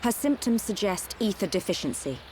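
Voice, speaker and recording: A woman's voice: medium pitch, very animated, fairly quick, a little mellow, slight background noise, natural voice, poor audio. A woman playing a capable, practical young medic in a video game cutscene, with a matter-of-fact manner. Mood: calm, explaining.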